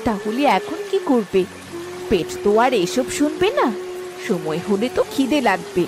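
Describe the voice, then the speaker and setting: A woman's voice: on the high side, big pitch swings, a little slow. A woman voicing a fretful, snappy village housewife in a cartoon, with music behind. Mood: worried. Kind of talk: cartoon dialogue.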